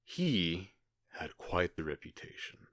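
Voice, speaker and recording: A man playing a menacing, smugly courteous outlaw gang leader in a western audio drama, speaking with taunting, falsely polite pauses. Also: low-pitched, sing-song, a bit bright, dry recording, studio quality, full character voice. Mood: storytelling, smug.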